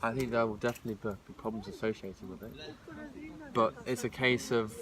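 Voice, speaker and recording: Podcast guest, man in his early twenties, hedging, thoughtful; distant mic with loud background. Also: lowish voice, fairly even intonation, fairly quick, crowd noise. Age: 20s. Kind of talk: casual chat.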